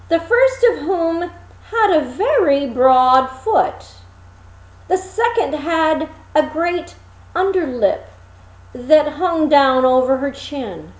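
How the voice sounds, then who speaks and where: A woman reading a fairy tale aloud as expressive storybook narration, with dramatic, deliberate phrasing. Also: high voice, reverberant, rough recording.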